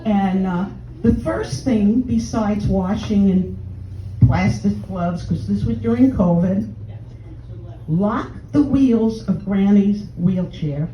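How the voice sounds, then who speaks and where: Older Texan woman, mellow voice, brash bawdy comic heckling the crowd, miked from afar in a dull live room. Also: slow pace, a little hiss or hum. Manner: brash, bawdy, chatty sales pitch. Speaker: stand-up comedian in a sex-doctor persona. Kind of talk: stand-up routine, addressing audience members. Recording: roomy, distant, dull live room sound.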